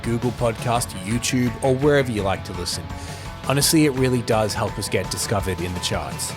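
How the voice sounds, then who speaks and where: Male podcast host, medium pitch. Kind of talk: casual chat and friendly scripted sign-off.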